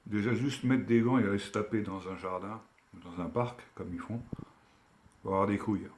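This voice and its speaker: A man with a fairly low voice speaks in a casual, colloquial register, recorded in a noticeably echoey room. He is from Algeria and talks like an online commentator giving a frank telling-off, stressing his points emphatically. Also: normal speed, slight background noise. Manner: colloquial street French, emphatic, admonishing. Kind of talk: casual opinion rant to camera.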